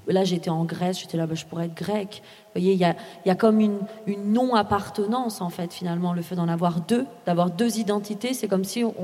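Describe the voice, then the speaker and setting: A woman's voice: on the low side, a little mellow, in a large hall. A woman writer on a panel who speaks thoughtfully, openly weighing both sides of the question. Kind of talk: panel discussion.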